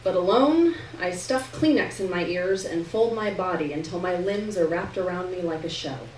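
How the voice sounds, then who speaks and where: Woman reading a story out loud, roomy and far from the mic, dramatic pauses. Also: lowish voice. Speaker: reader performing a novel chapter to an audience. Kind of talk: expressive literary reading, first-person narration. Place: live reading event in a room. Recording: roomy, distant mic, faint noise.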